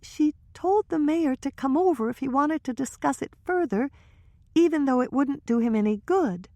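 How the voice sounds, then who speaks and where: A woman's voice: high-pitched, animated, moderate pace, a little mellow, no room sound, pitched above the performer's own voice. A woman voicing a nervous, gossipy young woman who talks in a hesitant, breathless way.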